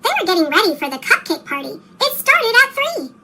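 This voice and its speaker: A storyteller with a pitched-up chipmunk effect, reading a children's story in an animated, expressive way for young listeners. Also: heavy background noise. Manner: animated, expressive, slow read-aloud delivery for young children. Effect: chipmunk-style pitched-up voice. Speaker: storybook reader. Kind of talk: scripted children's storybook narration.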